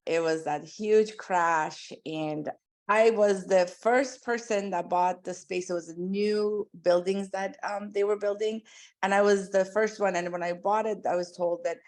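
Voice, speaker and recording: A woman's voice: on the low side, normal speed. Chatty Iranian-born woman telling her story. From Iran. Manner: chatty and animated, frequent "like" and "um". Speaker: podcast guest, pediatric dentist. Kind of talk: casual interview storytelling.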